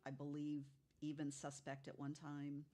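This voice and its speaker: A woman with a low-pitched voice talks casually as a guest on a podcast panel. She tells stories conversationally and speaks with emphasis, often repeating words to agree. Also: completely flat delivery, a little slow, warm tone.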